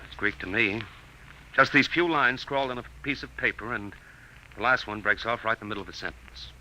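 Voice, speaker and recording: A man's voice: mid-range voice, brisk, bright, forceful tone, a little hiss or hum, poor audio. An actor playing an earnest, plain-spoken college man in dramatic vintage radio narration and dialogue. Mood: subdued. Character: earnest college man narrating his professor friend's story. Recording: old radio recording with music.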